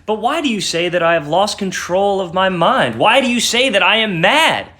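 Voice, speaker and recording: A man's voice: sits high, expressive, moderate pace, pitched above the performer's own voice. A man playing an agitated madman who insists he is sane, in an intense, theatrical dramatic reading of a gothic story. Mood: frantic, defensive. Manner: intense, theatrical, with sudden hushes and swells. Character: agitated madman insisting on his sanity, confessing a murder.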